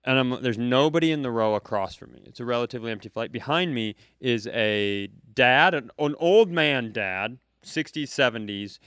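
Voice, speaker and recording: A man's voice: medium pitch, lively intonation, moderate pace, hardly any pauses, fairly bright tone, clear, resonant tone, dry recording, clean studio sound. A man co-hosting a live comedy podcast, telling a rambling anecdote full of asides. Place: comedy club stage.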